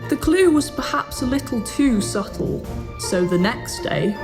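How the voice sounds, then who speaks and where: High-voiced woman narrating a fairy tale. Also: animated, unhurried. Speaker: storyteller narrator. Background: music.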